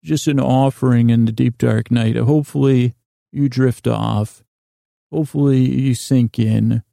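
Middle-aged guy doing a sleepy bedtime podcast, super creaky voice, flat pitch, halting and wandering off on tangents. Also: dry recording, studio quality. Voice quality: gravelly, creaky. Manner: meandering, halting, trailing off into tangents. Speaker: podcast host.

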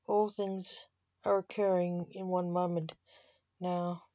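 A woman's voice: on the low side, flat delivery, slow pace, mellow. A woman voicing a solemn, earnest son who is a seer, in a story. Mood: grave. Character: solemn, frustrated mind-reading son and seer. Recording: roomy echo, slightly dull sound.

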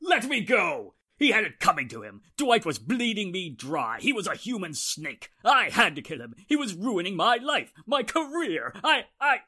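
A desperate, self-pitying murder suspect in a 1940s radio melodrama, played by a man. He protests in a forceful, stammering voice with highly animated pitch. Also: normal speed, few pauses, slightly rough, exaggerated voice. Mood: desperate, bitter.

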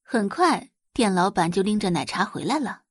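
A woman's voice: mid-range voice, lively intonation, moderate pace, breathy edge, no echo, studio quality. A woman reading scripted, dramatic story narration for an audio drama.

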